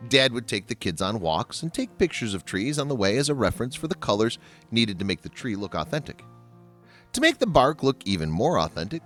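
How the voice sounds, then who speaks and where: A man delivers scripted narration as a voice-over. His pitch sits in a middle range for a man but swings very widely, giving a highly animated read. Faint music plays behind him. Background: background music.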